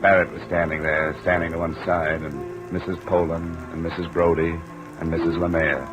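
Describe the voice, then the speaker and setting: An actor playing a tough, weary insurance investigator, narrating tersely in the hard-boiled style of 1950s radio drama. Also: male voice, low-pitched, flat delivery, normal speed, muffled recording, lightly acted. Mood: sombre, reflective. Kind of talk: 1950s radio acting and first-person narration. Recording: old radio drama recording. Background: dramatic music.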